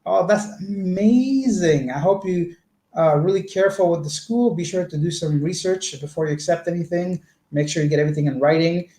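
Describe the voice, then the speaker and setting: A man's voice: high voice, a little fast, dark tone. A man from Canada chatting casually on a livestream, answering viewer comments with long, rambling explanations. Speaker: vlogger. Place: indoor home room. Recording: echoey room.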